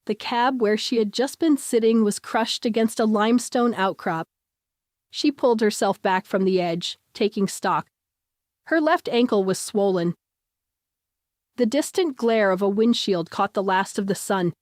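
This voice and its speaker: A woman's voice: mid-range voice, measured pace, no room sound. Woman reading a sci fi story like an audiobook, midrange voice, really dry close mic. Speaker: audiobook narrator. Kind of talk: scripted dramatic story narration.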